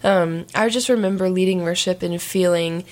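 Raspy young woman telling her story. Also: lowish voice, moderate pace. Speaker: guest singer. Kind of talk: casual interview.

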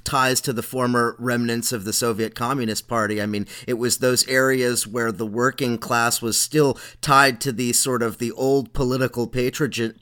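A man's voice: mid-range voice, a bit bright. A man interviewing a guest, politely interrupting to ask questions.

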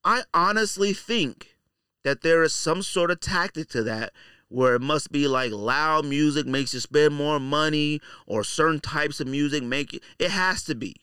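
A man's voice: on the high side, measured pace, sharp tone, clean tone, no room sound, clean studio sound. A middle-aged man hosting a casual podcast, giving opinionated, slangy monologue and repeating his points for emphasis. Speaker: podcast host.

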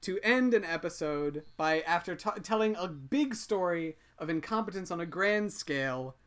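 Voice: medium pitch, animated, normal speed, clear, resonant tone. A podcast co-host in casual chat, telling stories and teasing their partner.

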